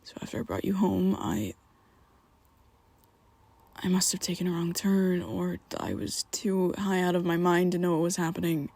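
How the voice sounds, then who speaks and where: A man voicing a young vampire who is his listener's best friend, speaking in a soft, breathy half-whisper with hesitant restarts, in an ASMR roleplay. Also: high voice, normal speed. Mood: confessing. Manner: hesitant, stumbling restarts, pleading.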